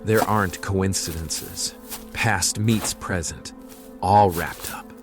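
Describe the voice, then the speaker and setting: A man's voice: low voice, fairly even intonation, slow, music in the background. An actor playing a weary, resigned man stricken with plague in an audio drama, speaking solemnly. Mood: solemn resolve.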